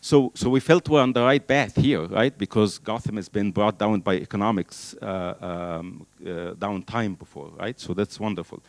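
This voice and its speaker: A middle-aged man originally from Egypt, a native Arabic speaker, giving an animated keynote talk on stage with a handheld mic, using many fillers. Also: on the low side, normal speed, few pauses, dry recording. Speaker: keynote speaker, engineering professor. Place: large hall, on stage at a podium. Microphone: handheld microphone.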